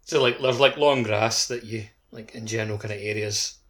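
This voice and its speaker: A man's voice: fairly low pitch, steady tone, moderate pace, fairly bright tone. A man chatting informally as a co-host on a gaming podcast. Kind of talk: casual gaming podcast chat.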